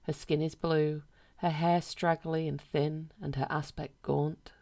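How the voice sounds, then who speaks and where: A woman reading a literary short story aloud as narrator, in a measured, deadpan way. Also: sits low, creaky.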